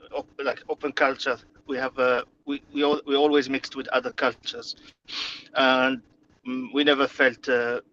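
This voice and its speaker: A man's voice: medium pitch, sharp tone. Man from Morocco, Arabic native speaker, interview guest, hesitant with frequent restarts, slightly dull recording with faint noise. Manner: hesitant, many fillers and restarts. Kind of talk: conversational interview.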